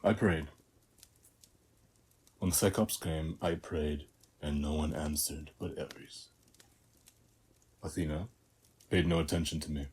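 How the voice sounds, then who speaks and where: A man playing a weary, battle-hardened warrior of Ares in a scripted audio roleplay, speaking in measured, reflective short phrases. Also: fairly even intonation, a little mellow, exaggerated voice. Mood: grieving, bitter. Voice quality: very deep, husky, breathy.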